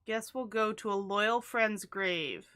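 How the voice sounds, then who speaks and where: A woman's voice: medium pitch, slow, bright, forceful tone, a little hiss or hum. A woman streaming a video game, chatting casually and playfully, often laughing at herself. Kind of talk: casual gameplay commentary.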